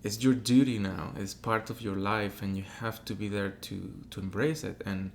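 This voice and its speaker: A low-pitched man with a Mexican Spanish accent and a gravelly, creaky voice, speaking as an interview guest. He is a native Spanish speaker who talks reflectively and acts out the voices of people in his stories. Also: steady tone, moderate pace, a little hiss or hum. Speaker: guest, opera tenor. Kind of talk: casual interview storytelling.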